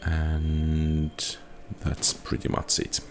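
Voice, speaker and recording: A man's voice: rumbling low, completely flat delivery, extremely slow, slight background noise. A man giving casual, understated video game commentary, musing to himself as he plays. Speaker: gameplay host and player. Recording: game music behind the voice. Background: fantasy game music.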